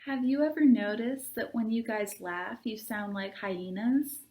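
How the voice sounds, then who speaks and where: A woman's voice: a little high, a little slow, darkish tone, lightly acted. A woman voicing a sardonic, blunt schoolgirl from a children's book, with a dry, pointed delivery.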